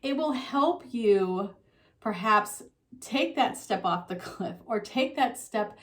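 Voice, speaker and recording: A middle-aged woman giving a relaxed, talking tarot reading to her viewers, her voice a little froggy from a slight sore throat, with frequent ums and you-knows. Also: fairly high pitch, slow pace. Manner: soft conversational, with frequent um and you know. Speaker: tarot reader.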